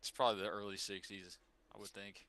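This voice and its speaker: A man's voice: on the low side, level tone, quick pace, sharp tone, dry recording. An older man co-hosting a podcast in casual, joking conversation with friends. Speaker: podcast co-host. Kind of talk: casual, joking group chat.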